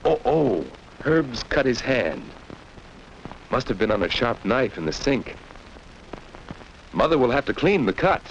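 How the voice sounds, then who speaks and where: A man narrates a children's educational film in 1940s style, with a medium-pitched voice that rises and falls in a lively way. He teaches like a storyteller, often turning to the young audience with questions. The old soundtrack is dull, with a faint layer of noise. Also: a little slow, pauses often. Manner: didactic, addresses young listeners with rhetorical questions.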